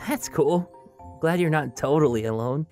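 A woman voicing a friendly, eager teenage freshman boy, chatty and upbeat, in an animated roleplay. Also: low voice, moderate pace, faint music behind, natural voice. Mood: friendly, curious.

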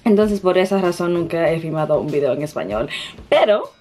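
A young woman with a Dominican Spanish accent talks casually through a makeup routine in her bedroom, often pausing to hunt for the right words. Her voice is mellow and sits a little low. Soft music plays faintly underneath. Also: lively intonation. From Dominican Republic. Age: young adult. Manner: self-conscious, hesitant, searching for words. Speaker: beauty vlogger. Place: bedroom, indoors.

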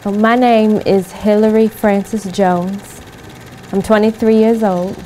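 A woman's voice: medium pitch, little pitch movement, unhurried, warm tone, slight background noise, natural voice, poor audio. An actress in her twenties playing an eager 1940s volunteer, speaking plainly and politely in a film monologue. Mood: excited, proud. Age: 20s. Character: eager young 1940s woman volunteer in uniform.

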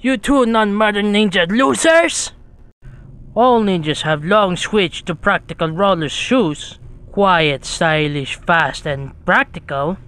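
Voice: medium pitch, expressive, takes it slowly, fairly bright tone, clear, resonant tone. A performer voicing a boastful, nerdy teenage turtle boy with a superior, clipped manner. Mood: smug. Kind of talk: cartoon comic dub acting. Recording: music behind the voice.

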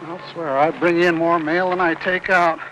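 An actor playing a weary, talkative middle-aged mail carrier in a 1950s film, rambling on in a complaining whine. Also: male voice, on the high side, flat delivery, normal speed, a bit bright, lightly acted. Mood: grumbling, weary. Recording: noisy old film soundtrack.

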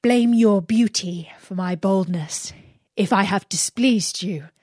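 Lady doing earnest young fairy tale guy, courtly and pleading, slow, dry sound. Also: mid-range voice, a little mellow, no echo. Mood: courtly, apologetic. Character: earnest young male hero Aladdin.

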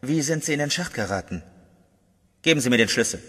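An actor playing a stern, condescending narcotics captain in a German radio play. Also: male voice, medium pitch, lively intonation, brisk, a bit bright, husky, long reverb tail. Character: stern older male narcotics captain, mocking.

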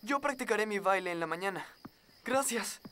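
A man's voice: high voice, animated, moderate pace, bright, forceful tone, slight background noise. A man voicing a shy, awkward young boy prince in a children's cartoon dub, speaking hesitantly. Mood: determined, tired.

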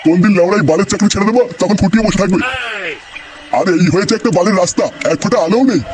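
Man acting a high-pitched, foul-mouthed cartoon village grumbler. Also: racing, crowd noise, close to an everyday voice. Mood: grumbling. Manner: crude, brash, swearing.

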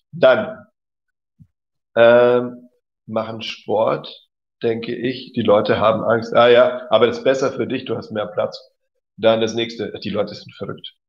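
A man's voice: lowish voice, vocal fry. A man teaching German in a live stream, reading out viewers' messages and praising them often. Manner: encouraging, says "genau" and "cool" often. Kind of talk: live-stream language lesson reading chat. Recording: dull lo-fi sound.

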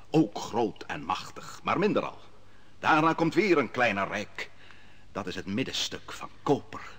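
An actor playing a young prophet in an old radio play, speaking in a husky, solemn, declaiming manner. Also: male voice, lowish voice, fairly bright tone, full character voice. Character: young prophet recounting a king's dream, solemn. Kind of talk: 1950s radio drama acting.

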